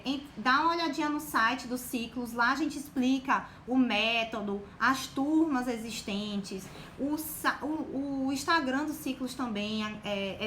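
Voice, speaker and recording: A young woman with a Bahian accent speaks in a fairly high voice, encouraging and chatty, checking in with her listeners again and again. She streams live from an echoey room. Faint noise sits under her voice. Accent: Bahian Portuguese. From Bahia, Brazil. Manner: warm, encouraging, full of fillers like "né" and "tá". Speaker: guest on a live stream about exam preparation. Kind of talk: casual motivational talk to a live audience.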